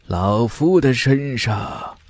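Man putting on a sly old cultivator, swooping pitch. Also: animated, drawn-out words, a little mellow, slightly rough, no echo, full character voice. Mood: sly wheedling. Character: scheming old male cultivator, sly and wheedling.

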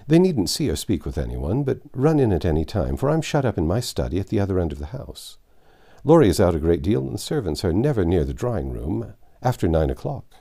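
A man voicing a gruff but kindly old rich gentleman in a read-aloud story, brusque and fatherly. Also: sits low, sing-song, fairly quick, full character voice.

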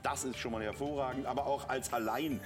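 A man's voice: medium pitch, fairly even intonation, fairly quick. A middle-aged man presenting enthusiastically on a TV shopping show, explaining products as he sells them. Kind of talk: live teleshopping product pitch. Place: TV shopping studio. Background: music.

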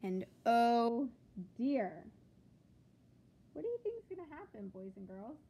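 A woman reading a picture book aloud to children like a teacher, with expressive, deliberate phrasing and questions to the listeners. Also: a little high, breathy edge. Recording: phone-like thin sound with background noise.

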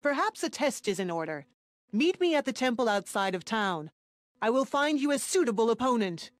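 An actress playing a calm, resolute female demon in a video game, formal and measured. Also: dry recording. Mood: confident, challenging. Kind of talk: video game cutscene acting. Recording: loud rumbling ambience behind.

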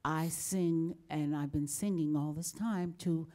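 A woman in her sixties with a very low, dark, mellow voice. She tells stories in a folksy, chuckling way. She speaks into a handheld mic in a large formal hall. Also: steady tone, measured pace. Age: 60s. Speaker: panel guest singer. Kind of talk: storytelling on a panel.

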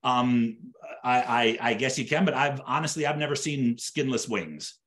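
A man's voice: medium pitch, moderate pace, a bit bright. Middle-aged man teaching cooking. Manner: explanatory, repeats and rephrases as they go. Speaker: chef teaching an online cooking class. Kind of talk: conversational live cooking demonstration. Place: home kitchen, indoors.

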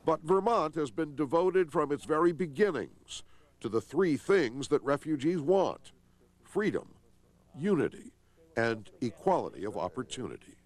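An older man narrating a scripted news report as correspondent, with a measured, expressive delivery. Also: a little high.

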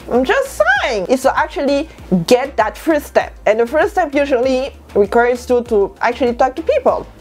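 A woman of about thirty whose first language is French, giving an animated motivational talk to camera in her bedroom and repeating lines for stress. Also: a little high. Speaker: lifestyle vlogger. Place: bedroom at home, indoors.

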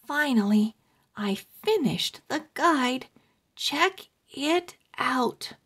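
A woman with a high, lively voice reads a children's story aloud. She goes extremely slowly, setting out each phrase deliberately so young readers can follow along. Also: slightly rough. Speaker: host reading children's books aloud. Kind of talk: slow, expressive children's read-aloud.

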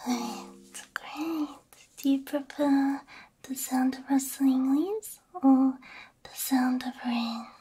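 A woman whispering softly in an ASMR roleplay, gentle and reassuring, speaking close into a binaural microphone. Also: high voice, takes it slowly. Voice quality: breathy, mostly whispered. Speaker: ASMR roleplay creator playing a robot repair technician.

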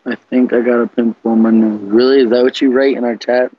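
A man's voice: mid-range voice, fairly even intonation, fast talker, husky, faint music behind. A young man chatting casually and slangily on an internet group call, muttering asides. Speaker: prank caller. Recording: slightly muffled computer call audio.

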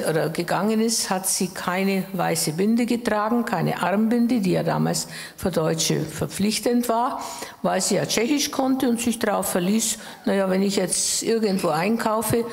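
Eine Frau um die achtzig aus Böhmen, die als Gast auf einer Bühne nachdenklich und mit Zögern aus ihrer Erinnerung erzählt, mit Handmikrofon. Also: female voice, medium pitch, vocal fry, a little mellow. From Bohemia, Czech Republic. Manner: thoughtful, with hesitations and self-corrections. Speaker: interview guest. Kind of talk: personal memories in conversation. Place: stage in a wood-panelled hall. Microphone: handheld mic. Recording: echoey hall.

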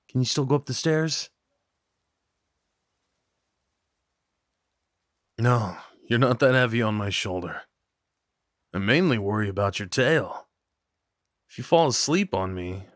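A man acting a kind, slightly awkward neighbor in an audio roleplay, talking in a gently concerned, hesitant, conversational way. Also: mid-range voice, dry recording, studio quality. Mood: worried, caring. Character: kind, awkward, caring male neighbor comforting someone. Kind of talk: ASMR roleplay, soft one-sided dialogue acting.

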